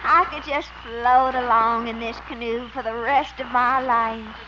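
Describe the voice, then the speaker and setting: Woman acting a high-pitched, coy radio sweetheart. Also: fairly even intonation, measured pace, close to an everyday voice. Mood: coy, coaxing. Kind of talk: 1950s radio situation comedy acting. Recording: muffled, noisy old radio recording.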